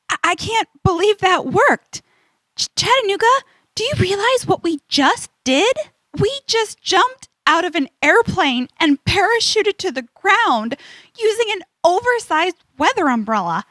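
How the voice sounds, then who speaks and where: A very high, animated young adventurer, played by a woman, earnest and sensible with a readiness to be amazed. The delivery is that of a children's audio drama, recorded dry and close. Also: a little slow, dry recording, heavily acted. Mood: astonished. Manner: earnest, expressive, stammers in disbelief.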